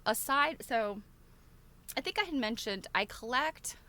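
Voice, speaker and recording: A woman's voice: fairly high pitch, lively intonation, a little slow, bright, forceful tone. A woman chatting casually to regular viewers as a livestream host, rambling along in a conversational way. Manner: chatty, rambling, often breaks into laughter.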